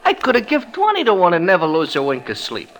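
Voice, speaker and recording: An actor playing a cocky, gloating betting man with a gravelly, sharp voice and slangy needling talk, in an old radio drama. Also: high voice, huge pitch swings, fast, exaggerated voice. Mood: smug. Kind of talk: 1940s-50s radio comedy-drama acting.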